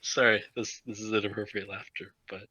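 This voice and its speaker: A man's voice: on the low side, level tone, fairly quick, pushed and bright, husky, no room sound. A man chatting casually as a co-host on a group podcast. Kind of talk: casual group chat about pop culture.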